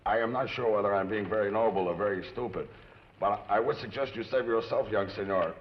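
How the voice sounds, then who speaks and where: Guy playing a courtly mexican bandit in an old tv western, low voice, mexican accent, florid talk, muffled sound. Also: fairly quick, background noise, natural voice. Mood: strained, wry. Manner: florid, formal speech sprinkled with Spanish. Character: Mexican outlaw man of forty-five, courtly, grateful, wry. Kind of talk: 1950s television western acting.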